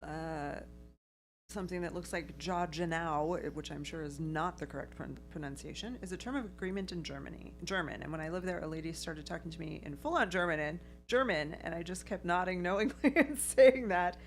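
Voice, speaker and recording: A woman's voice: fairly low pitch, brisk, a little hiss or hum. Chatty woman co-hosting a stream. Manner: chatty, laughs often, picky about writing. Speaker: livestream co-host. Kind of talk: casual chat reading viewer comments.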